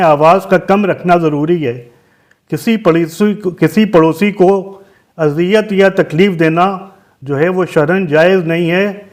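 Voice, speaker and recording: High-pitched man, Lucknow Urdu accent. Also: darkish tone. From Lucknow, Uttar Pradesh, India. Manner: deliberate, emphatic repetition, explains with synonyms. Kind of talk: religious guidance talk.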